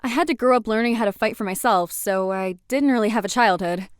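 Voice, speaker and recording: A woman playing a guarded, awkward space heroine in an animated comedy, talking in a hesitant, matter-of-fact way. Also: on the high side, a little fast, smooth, dry recording, clean studio sound, natural voice. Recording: music behind voice.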